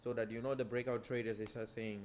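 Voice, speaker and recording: A man whose first language is Portuguese, giving a casual lesson as a trading educator and walking through charts in a relaxed way that leans on repeated stock phrases. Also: medium pitch, quick pace, a little hiss or hum.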